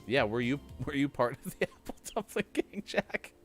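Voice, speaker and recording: Upbeat male stream host with a lively voice over faint music. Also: mid-range voice, normal speed. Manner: upbeat, reads daily trivia, laughs often. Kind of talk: casual chat on a morning gaming stream.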